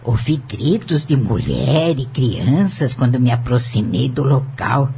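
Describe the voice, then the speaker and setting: Man playing a slow, creaky-voiced elderly woman witness. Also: mid-range voice, big pitch swings, dark tone, slight background noise. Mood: grave. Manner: measured, formal, deliberate testimony. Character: elderly upright woman shopkeeper, firm courtroom witness. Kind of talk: audio novel acting. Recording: dull lo-fi audio with music bed. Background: music.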